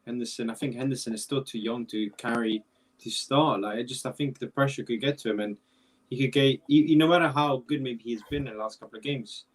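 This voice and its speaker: A man's voice: medium pitch, brisk, recorded from a distance, rough recording. A young man around twenty, a guest in a casual football chat, rambling and repeating himself as he thinks. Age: young adult.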